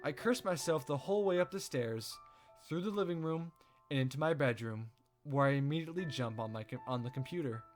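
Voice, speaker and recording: A man reading a visual novel aloud as a let's play host, with expressive storytelling narration. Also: fairly high pitch, fairly quick, soft background music.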